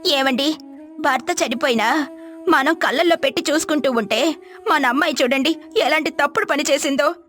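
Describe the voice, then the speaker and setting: Woman acting a high-voiced, fretful mother. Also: normal speed, a bit bright, faint music behind. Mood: shocked reproach. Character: worried mother of a widowed daughter, dismayed, reproachful.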